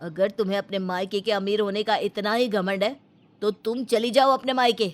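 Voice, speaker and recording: Woman acting firm young husband, lowered voice. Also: fairly even intonation, moderate pace, soft background music, lightly acted. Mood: reproachful anger. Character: aggrieved poor young husband, plain-spoken and reproachful. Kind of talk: dramatised family story acting.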